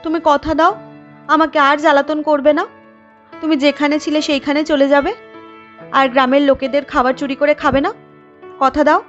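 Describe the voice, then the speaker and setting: A woman's voice: high-pitched, level tone, moderate pace, long pauses, dull audio, faint music behind, natural voice. A woman voicing a bold young village woman in a cartoon, who speaks firmly and scolds. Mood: scolding. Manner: firm, scolding. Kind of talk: cartoon voice acting.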